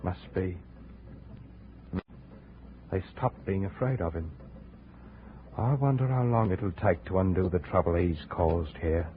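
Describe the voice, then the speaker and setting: A man acting a grim figure in a 1950s adventure radio drama, with a slow, dramatic delivery. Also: sits low. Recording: tinny, noisy vintage radio recording.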